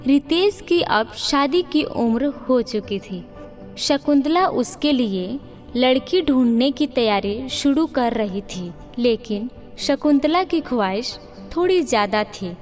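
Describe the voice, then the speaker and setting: A woman narrates a cartoon moral story from a script. Her voice is very high and her delivery is slow, with background music running beneath. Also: animated, a little mellow.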